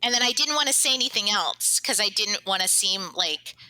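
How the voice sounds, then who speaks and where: A woman's voice: mid-range voice, expressive, a little fast, bright, forceful tone, no room sound, low-quality recording. A woman chatting casually in an interview as a cast member, jokey and self-deprecating.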